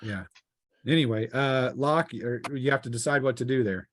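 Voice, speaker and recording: Halting man running a tabletop game. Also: mid-range voice, a bit bright, a little hiss or hum. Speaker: game master. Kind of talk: improvised narration of a tabletop game.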